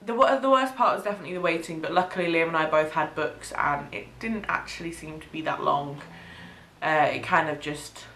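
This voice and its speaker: Sniffly chatty young woman, low voice. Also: a little fast, slight background noise. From United Kingdom. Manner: chatty, rambling, with frequent ums and soft laughs. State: sniffly with a cold. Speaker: vlogger. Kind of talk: casual pregnancy update vlog. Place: small bedroom or home studio, indoors. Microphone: camera mic, head-and-shoulders framing. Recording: echoey room.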